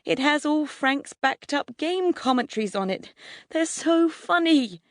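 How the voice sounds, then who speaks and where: A woman's voice: high voice, lively intonation, unhurried, dry recording, studio quality, voice pushed up. A woman voicing a nervous twelve-year-old boy in a story, timid, trembling and earnest.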